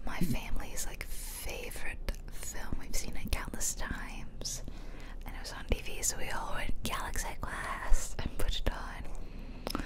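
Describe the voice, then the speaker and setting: A woman speaks in a soft, steady whisper, very close to the microphone. She is chatty and gentle, in the manner of an ASMR host talking a viewer through a game. Her voice stays hushed and airy, with little solid tone under the breath. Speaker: gaming video host. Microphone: close microphone, ear to ear.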